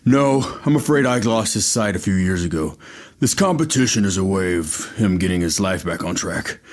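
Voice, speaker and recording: A huge, nervous, soft-hearted monster for a fantasy audio drama, played by a man. The voice sits fairly low and swings expressively, with a polite, apologetic, encouraging manner. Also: lively intonation, normal speed. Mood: kindly, encouraging. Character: massive furry mammoth monster, sweet, nervous, encouraging.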